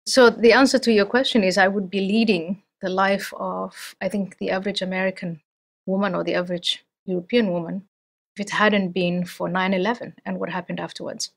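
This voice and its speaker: A middle-aged woman from Somalia, speaking as an interview guest in a thoughtful, measured way with frequent restarts. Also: medium pitch, fairly even intonation, vocal fry. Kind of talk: interview conversation.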